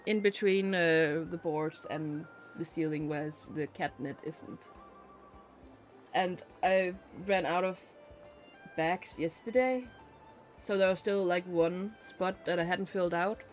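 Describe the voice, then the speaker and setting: A streamer chatting casually in a rambling, giggly, expressive way. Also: mid-range voice, slow, soft background music. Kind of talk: casual livestream chat.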